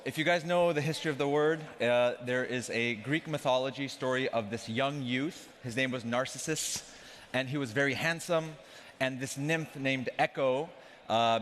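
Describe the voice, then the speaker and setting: A young man from Afghanistan talks on stage in a large conference hall. His voice sits at a medium pitch, and a stage mic carries it into a long hall reverb. He keeps the talk casual and joking, turning to the crowd directly. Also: fairly bright tone, a little hiss or hum. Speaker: conference speaker, company CEO.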